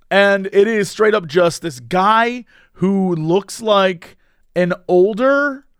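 A man co-hosting a casual comedic podcast, rambling along in a playful, animated way. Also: high voice, no room sound, clean studio sound. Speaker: podcast co-host.

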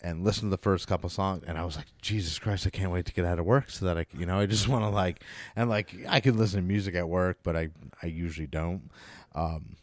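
Deep male voice, middle-aged podcast host, informal, enthusiastic, rambling music chat, dry close sound. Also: fast, no room sound.

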